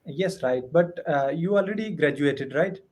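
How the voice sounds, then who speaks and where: A man from India with a mild Indian accent, practicing English earnestly over a video call, pausing often with "uh". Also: on the high side, a little mellow. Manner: earnest, careful, with many "uh" pauses. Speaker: student, software entrepreneur.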